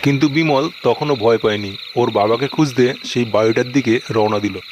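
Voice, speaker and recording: A man's voice: medium pitch, normal speed. A man narrating a scripted horror cartoon story. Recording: noisy recording with background ambience.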